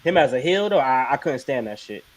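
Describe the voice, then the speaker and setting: A man's voice: medium pitch, sing-song, brisk. A man co-hosting a casual wrestling chat stream, blunt and profane. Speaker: podcast co-host.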